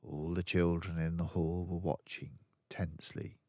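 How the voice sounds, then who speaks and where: An older man narrating a children's story aloud in an expressive storytelling style. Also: low voice, a little slow, no room sound, muffled recording. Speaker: storyteller reading a children's book.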